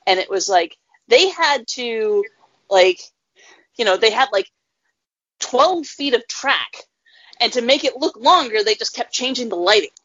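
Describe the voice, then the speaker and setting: A woman's voice: on the high side, animated, unhurried, pauses often, fairly bright tone, dry recording, dull audio. A woman co-hosting a casual podcast, rambling and amused as she recalls film trivia. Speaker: podcast co-host. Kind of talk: casual chat.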